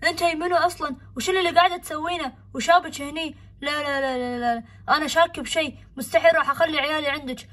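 A woman playing a stern, worried father in a homemade family skit, quick and exasperated. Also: high-pitched, a bit bright.

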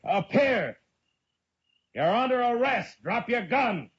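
A man acting a stern, steady U.S. Marshal in a 1950s radio western, with a terse, commanding delivery. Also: very high-pitched, takes it slowly, very noisy, exaggerated voice. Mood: grim, commanding. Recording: muffled vintage radio recording.

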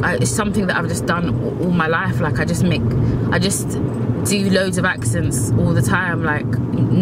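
A young adult woman talks candidly to camera in a slangy, rambling way, repeating phrases for emphasis. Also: lowish voice. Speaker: vlogger. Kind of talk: candid casual talk to camera. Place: inside a car, in the driver's seat. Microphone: camera mounted near the dashboard. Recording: loud car and outdoor noise.

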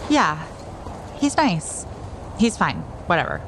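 An actress playing a kind, nerdy young assistant in an audio drama, casual, hesitant and teasing. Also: female voice, fairly low pitch, sing-song, slow, noisy background, heavily acted. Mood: awkward, reassuring. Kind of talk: naturalistic audio drama acting.

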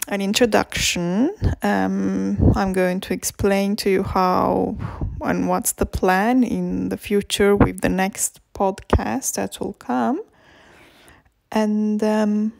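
A woman from Romania whose first language is Romanian, hosting a language podcast and talking slowly and hesitantly, with many ums and restarts. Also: medium pitch, gravelly, darkish tone, no echo. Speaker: podcast host and language teacher. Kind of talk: slow, informal podcast talk.

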